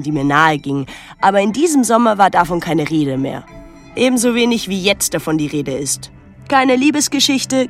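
An actress playing a pensive, detached young woman, narrating and talking in a literary radio drama in a measured, reflective way. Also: fairly low pitch, natural voice. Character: pensive young woman recalling her island summer, detached, introspective. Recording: music under the voice. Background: music.

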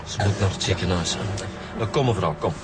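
Actor as Flemish detective, loud background noise. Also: male voice, deep voice, a little fast, close to an everyday voice. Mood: matter-of-fact. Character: down-to-earth male police inspector, probing junior partner. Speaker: radio drama actor. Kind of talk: naturalistic radio drama acting. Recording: loud ambience and vehicle noise.

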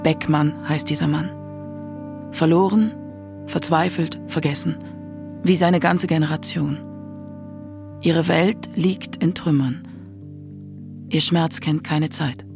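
A man with a fairly high voice narrates a scripted radio feature. Music plays beneath his documentary narration. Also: fairly even intonation, slow, creaky, pauses often. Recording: music under the voice.

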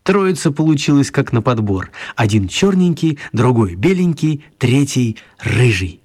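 A man narrating a children's story for radio in an expressive storytelling style. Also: medium pitch, a little slow, breathy edge.

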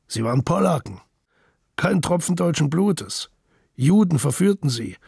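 A man with a creaky, gravelly voice reading scripted radio drama narration in staccato sentences. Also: fairly high pitch, expressive, measured pace, a little mellow, dry recording, clean studio sound. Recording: noticeable ambient background noise.

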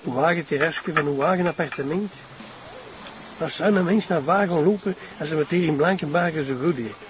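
A man's voice: fairly high pitch, a little fast, a crowd in the background, close to an everyday voice. A nosy, eager husband, played by a man. He rambles and stammers in homely fashion on a dull TV drama soundtrack. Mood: contented, chatty. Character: chatty, nosy, eager Flemish husband on holiday. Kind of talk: TV drama acting, colloquial.

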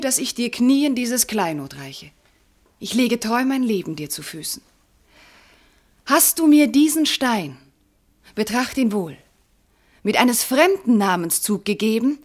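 An actress playing a devoted, anguished noble wife in a classical radio drama, declaiming formal verse with fervour. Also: female voice, on the high side. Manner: declamatory, fervent, formal diction. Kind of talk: classical German stage verse in a radio play.

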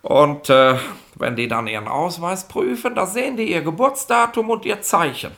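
A gravelly police inspector from a 1960s comic radio play. He is a self-important petty bureaucrat whose pitch swings widely as he rambles, lectures and keeps tagging on little questions. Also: lively intonation, normal speed, sharp tone, heavily acted. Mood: condescending, explaining. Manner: rambling, colloquial, condescending explainer with constant tag questions.

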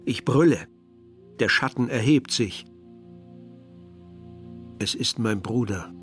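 An actor playing a young villager who tells his story in a measured, literary way, as narration in a radio drama. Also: mid-range voice, close to an everyday voice. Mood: uneasy. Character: young Algerian villager narrating a grim search for remains. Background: music.

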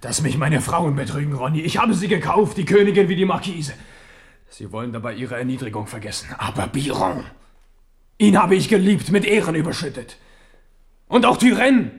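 A man's voice: high-pitched, lively intonation, fairly quick. An actor in a German radio drama playing a proud, impulsive, war-weary king. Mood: bitter, agitated.

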